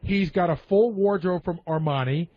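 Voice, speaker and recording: Man, fairly high voice, blunt explanatory delivery, casual radio panel, slightly lo-fi sound. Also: moderate pace, no room sound. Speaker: radio show staff member and panelist. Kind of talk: casual talk radio discussion. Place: radio studio. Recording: slightly dull, lo-fi radio audio.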